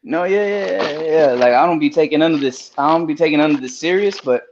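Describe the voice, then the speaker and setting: A man's voice: fairly high pitch, quick pace, darkish tone. A man with a stuffy, nasal voice from a cold, chatting casually on a podcast in a laid-back, slangy way. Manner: laid-back slangy talk with easy laughs. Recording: muffled with faint hiss.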